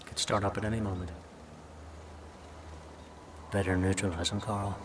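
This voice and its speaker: A man's voice: sits low, level tone, moderate pace, lightly acted. An actor playing a wary male Allied soldier giving a briefing at the front, in scripted game dialogue. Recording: slightly distant, clear background noise.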